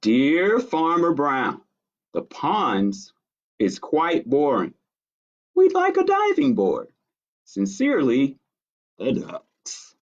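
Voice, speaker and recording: Polite, stubborn storybook farm animals state their demands, read by a man. The delivery is formal and measured, slow, with pitch that rises and falls widely. The sound is a bit lo-fi. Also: huge pitch swings, exaggerated voice. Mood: politely insistent. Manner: measured letter-reading, formal. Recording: dull lo-fi stream audio.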